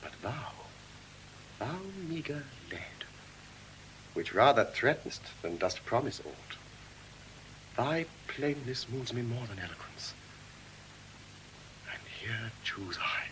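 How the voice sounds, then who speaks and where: Slow, expressive young lordly suitor. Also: male voice, medium pitch, low-quality recording. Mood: grave, reflective. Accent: English RP. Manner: measured, theatrical, deliberate declamation. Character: young Venetian lord, earnest romantic suitor. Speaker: stage actor. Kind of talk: classical Shakespearean verse acting. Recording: hissy vintage audio drama recording.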